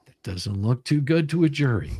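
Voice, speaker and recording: A man's voice: medium pitch, animated, normal speed, mellow, breathy edge, no echo, clean studio sound. A man hosting a casual live stream, explaining legal paperwork in an emphatic, sweary way. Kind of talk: casual commentary on a legal document.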